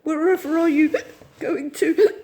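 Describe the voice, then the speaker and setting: A woman voicing a timid, homesick little male mole who pleads and sobs in a high voice. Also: steady tone, measured pace, mellow. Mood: sobbing. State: crying.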